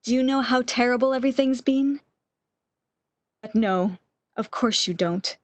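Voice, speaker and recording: A woman's voice: fairly high pitch, measured pace, no room sound, close to an everyday voice. A woman playing a bedridden, lovesick woman in a radio romance, with breathless, emotional, old-fashioned melodramatic delivery. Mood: emotional, relieved. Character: bedridden lovesick woman, wistful, eager, longing for lost love.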